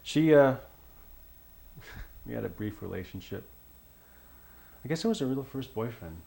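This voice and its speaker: Reticent, gravel-voiced ex-boyfriend. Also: male voice, medium pitch. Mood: fond, reticent. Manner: halting, understated. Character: reticent man recalling a former girlfriend fondly. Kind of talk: naturalistic film monologue, interview-like testimony. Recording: clear steady background noise.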